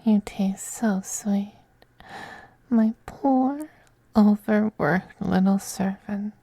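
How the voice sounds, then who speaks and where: Possessive femboy vampire noble played by an actor, ASMR intimacy: very mellow, slow, breathy whispers, tender yet domineering. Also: medium pitch, heavily acted. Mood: seductive, teasing. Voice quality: breathy, soft, often whispering.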